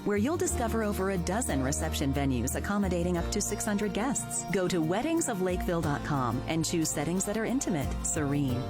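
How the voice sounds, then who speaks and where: A woman reading a scripted wedding-venue ad in a smooth, lilting sales delivery. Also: fairly low pitch. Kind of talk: scripted ad read. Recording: music bed under voice.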